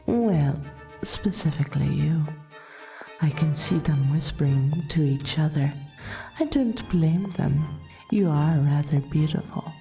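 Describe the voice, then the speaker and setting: Woman as a slow, teasing vampire royal. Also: sits low, warm tone, soft background music, full character voice. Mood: flirty, teasing. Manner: teasing, courtly, slow and breathy. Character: flirtatious, teasing female vampire royal, protective friend. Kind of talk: ASMR roleplay acting.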